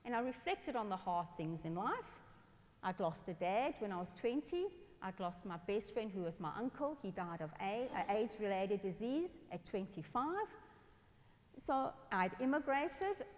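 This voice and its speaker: A woman from South Africa, a pastor telling personal stories in a lively sermon on a church stage. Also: mid-range voice, unhurried. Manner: animated, expressive, gestures while speaking. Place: large dark church stage. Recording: long church hall reverb.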